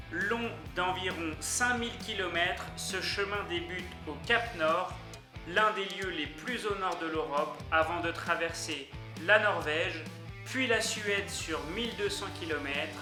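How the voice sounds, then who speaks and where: A young man narrating a travel list video in an upbeat way, speaking directly to his viewers. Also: sits high. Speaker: YouTuber. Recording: echoey room with music underneath.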